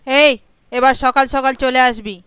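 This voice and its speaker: A woman's voice: high-pitched, steady tone, unhurried, a bit bright, slight background noise. A woman voicing a bossy, threatening warrior goddess in a comic cartoon, curt and commanding. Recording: music under the voice.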